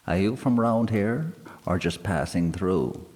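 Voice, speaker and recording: A man's voice: low voice, animated, measured pace, darkish tone, voice pushed up. A man voicing a proud, boastful politician in his forties, holding forth in a pub. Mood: hearty. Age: 40s. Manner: pompous, boastful, self-satisfied. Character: proud middle-aged male politician, barrister. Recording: long hall reverb.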